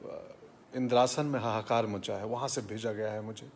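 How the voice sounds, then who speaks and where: A man's voice: on the low side, steady tone, moderate pace, creaky, a little hiss or hum. A man voicing the god of death in a comic folk anecdote, as a matter-of-fact messenger urgently explaining his errand. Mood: urgent, explanatory.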